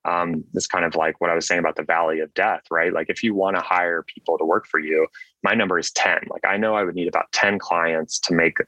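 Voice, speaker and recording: Deep, bright-voiced man telling casual stories. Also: steady tone, brisk, no echo, lo-fi sound. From Switzerland. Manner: chatty, self-deprecating, peppered with "like" and "right?". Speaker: podcast guest, solo business owner. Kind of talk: casual interview storytelling.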